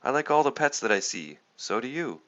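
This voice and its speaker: A man's voice: medium pitch, big pitch swings, fairly quick, pushed and bright, highs cut off, voice pushed up. A man voicing an eager, earnest young child from a children's storybook. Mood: undecided. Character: eager, undecided young child choosing a pet.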